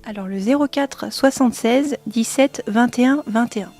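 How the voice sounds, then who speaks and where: A woman's voice: on the high side, normal speed, a little mellow. A woman explaining services as a guest in an informal radio interview, recorded in a small kitchen. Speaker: director of a family support centre, interview guest. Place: small kitchen of a community family centre.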